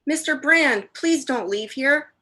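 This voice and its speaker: A woman acts out a scared, stubborn young boy in a radio drama. The voice is high and sharp, pushing to be believed. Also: expressive, slow pace, heavily acted. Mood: frightened, insistent. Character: frightened, defiant young boy in a radio mystery. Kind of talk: radio play acting.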